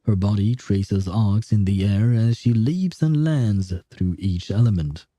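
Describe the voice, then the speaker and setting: A man reading a game's story aloud as a streamer, with measured narration and casual reactions. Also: on the low side, dark tone, no room sound, studio quality.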